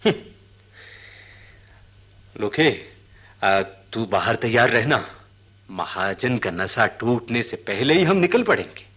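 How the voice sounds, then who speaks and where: A man's voice: medium pitch, very animated, slow pace, long pauses, a bit bright. An actor playing a wary, insistent elephant catcher in a radio drama, giving repeated orders to his partner. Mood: bitter, weary. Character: world-weary elephant catcher, urgent, bossy and wary.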